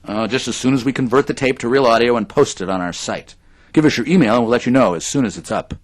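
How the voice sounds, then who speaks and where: An actor playing an affable, matter-of-fact radio interviewer in an audio drama. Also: male voice, medium pitch, fast talker, close to an everyday voice.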